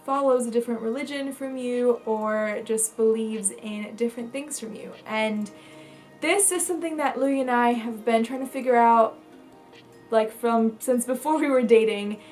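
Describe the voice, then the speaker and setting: A woman's voice: fairly high pitch, moderate pace, darkish tone, faint music behind. A young woman from Bulgaria, a lifestyle vlogger talking casually to camera, chatty, with lots of fillers and restarts.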